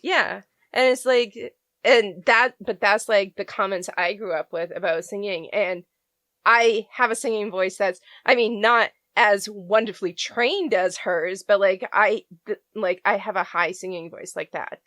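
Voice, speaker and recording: A woman's voice: mid-range voice, unhurried, a bit bright, no room sound. Young woman cohost, casual chat, dry close mic, fillers everywhere. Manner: chatty, many fillers and laughs. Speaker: podcast cohost.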